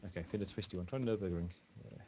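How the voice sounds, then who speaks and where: A man's voice: low voice, fast, mellow, a little hiss or hum. A man on a voice call with a streamer, casually explaining race rules and giving driving tips. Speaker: guest on call. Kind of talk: casual chat over a voice call.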